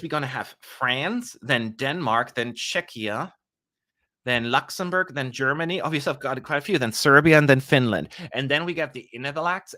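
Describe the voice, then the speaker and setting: A man's voice: mid-range voice, bright, forceful tone. A young man hosting a live stream, chatting casually with playful asides and jokes. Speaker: livestream host.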